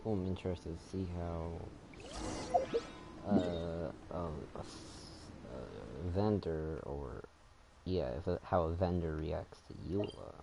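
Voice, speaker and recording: A man giving casual, halting, rambling commentary while playing a video game. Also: low voice, vocal fry, background noise.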